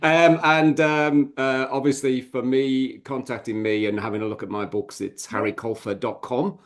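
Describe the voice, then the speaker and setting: A man's voice: medium pitch, fairly even intonation, normal speed. A man chatting casually as a podcast guest, rambling a little, with a hesitant, self-deprecating manner.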